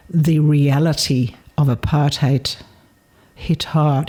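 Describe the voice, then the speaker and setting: An older woman in her seventies, originally from South Africa, recalls her past in an unhurried interview. Her voice is warm and mellow, with a slightly husky, breathy edge, and she speaks in a reflective, measured way, pausing to gather her thoughts. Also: extremely low pitch. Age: 70s. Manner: reflective, measured storytelling with pauses. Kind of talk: reminiscing in a recorded interview.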